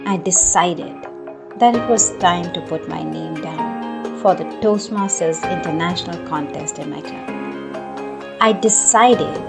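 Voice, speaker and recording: A woman narrating a written first-person story in a measured, expressive way. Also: lowish voice, creaky. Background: music.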